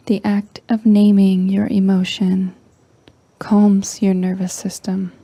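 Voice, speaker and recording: A woman leading a guided meditation in a soft, breathy, near-whispering voice, calm and gentle with long pauses. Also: mid-range voice, dry recording. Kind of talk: slow guided meditation narration.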